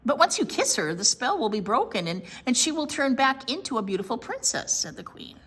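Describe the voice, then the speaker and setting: A woman reading a picture book aloud to children in an expressive storytelling style. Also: a little high, fairly quick, husky.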